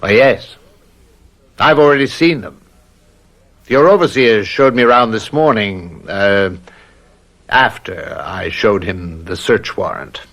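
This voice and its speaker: An actor playing a polite, quietly confident police inspector in an old-time radio drama, measured and dryly courteous. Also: male voice, fairly low pitch, lo-fi sound. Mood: dryly insistent. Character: polite, insistent male police inspector, quietly sure of himself.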